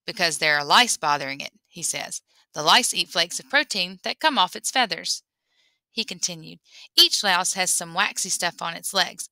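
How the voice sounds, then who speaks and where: A woman reading a memoir aloud in an expressive storytelling way. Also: fairly low pitch, no echo. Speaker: reader.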